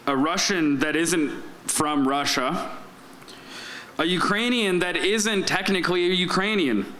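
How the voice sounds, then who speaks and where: A man's voice: high-pitched, a little slow, bright, forceful tone, slight background noise, rough recording. A man performing a wry, self-deprecating spoken-word comedy monologue at a live open mic in a lounge, speaking into a stage microphone. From Soviet Union, raised in the United States.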